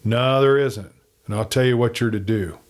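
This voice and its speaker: A man voicing a shrewd, hard, steady lawman in a western story, with a blunt, commanding manner. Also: medium pitch, normal speed, natural voice.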